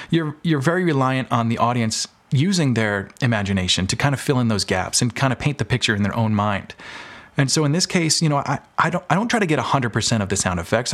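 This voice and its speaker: A man's voice: mid-range voice, a bit bright. A man talking casually as an interview guest, explaining his creative work with examples. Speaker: podcast guest, audio drama maker and filmmaker.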